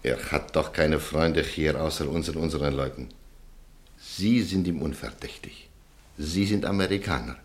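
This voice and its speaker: Radio-play extortioner, very deep voice, soft-spoken, coaxing, measured. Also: male voice. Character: smooth, calm male extortioner named Walter. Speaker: radio drama character.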